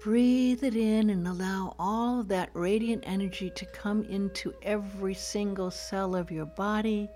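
A woman leading a guided meditation in a soft, soothing, gentle voice, with poetic phrasing. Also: mid-range voice, fairly even intonation, a little slow, few pauses, a little hiss or hum. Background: soft music.

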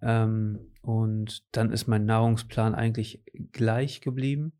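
A man's voice: on the low side, fairly even intonation, slow, dark tone. A man in his late twenties chatting casually about his training goals, rambling with lots of fillers and restarts. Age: late 20s. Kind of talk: casual chat.

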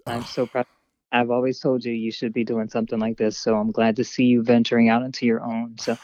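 A woman guest in a casual podcast interview, chatting warmly and laughing easily. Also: a bass voice, flat delivery, fast, poor audio. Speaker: guest actress.

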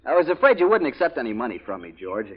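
An actor playing a grateful, good-natured horse-riding film star in a 1940s radio comedy, earnest in manner. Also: male voice, mid-range voice, sing-song, fast, breathy edge. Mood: friendly. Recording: muffled old radio recording.

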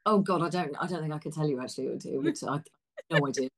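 A woman's voice: sits low, very fast. A woman with an English accent chatting casually as an interview guest, effusive and emphatic, often hesitating mid-sentence. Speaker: interview guest, theatre practitioner. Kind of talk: casual chat.

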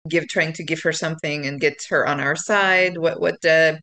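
Lower-pitched woman playing a tabletop game. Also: steady tone, normal speed, pushed and bright. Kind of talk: casual in-character game discussion.

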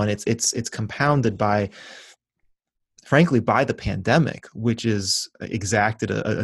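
A man who grew up in Venezuela and Ecuador, a pastor and author speaking as a guest in a podcast interview in an earnest, measured, reflective way. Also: lowish voice, vocal fry, slightly rough, dry recording, studio quality.

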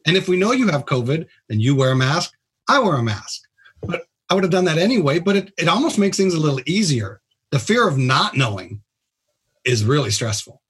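A man's voice: a little high, sing-song, fairly quick, slightly rough. A man who is a hospital doctor, explaining things in a plain-spoken, informal way as a guest on a radio talk show. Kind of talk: casual explanatory radio interview.

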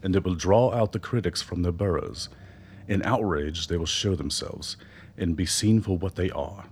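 An actor in a radio drama playing an older doctor, a persuasive political mentor who speaks in measured, eloquent phrases. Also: male voice, sits low. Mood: scornful, confident. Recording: noticeable background noise.

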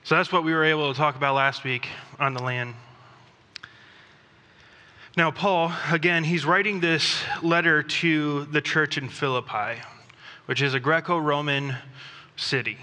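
Bright-voiced man preaching in a reverberant hall. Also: fairly high pitch. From Thailand. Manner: conversational, self-deprecating, with pauses and restarts. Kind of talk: sermon. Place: church sanctuary. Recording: long reverb of a church hall.

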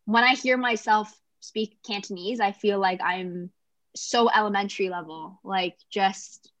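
A woman's voice: mid-range voice, steady tone, measured pace, fairly bright tone, dry recording. A young woman from Canada, chatting casually in an interview and often saying "like". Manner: chatty, lots of "like" fillers.

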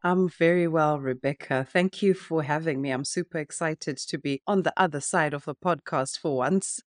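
A middle-aged woman from Zimbabwe talks through her story with a reflective, unhurried flow, padding her thoughts with easy fillers. The sound is close and dry, with no room around her. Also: deep voice, dry recording. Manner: reflective, explains in long flowing sentences with fillers. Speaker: podcast guest, wealth coach. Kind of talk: relaxed conversational interview.

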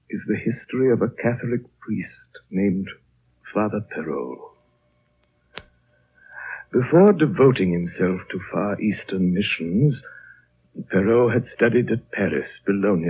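An actor playing an ancient, gentle and learned High Lama, speaking with slow courtesy in a 1930s radio drama. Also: male voice, gravelly, a little hiss or hum, heavily acted. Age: older. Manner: slow, courteous, measured storytelling. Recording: muffled, narrow-band old radio broadcast.

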